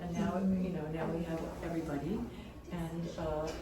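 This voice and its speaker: A woman's voice: deep voice, little pitch movement, moderate pace, far from the mic, heavy background noise. A woman chatting casually with colleagues. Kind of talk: casual chat. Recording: echoey crowded room, off-mic.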